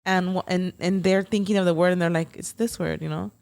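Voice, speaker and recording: A woman's voice: lowish voice, steady tone, brisk, creaky, a little mellow. A young woman co-hosting a casual podcast, chatty and full of fillers, with Spanish as her first language. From United States, Mexican parents. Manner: chatty, swears, many fillers. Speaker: podcast co-host. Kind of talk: casual chat.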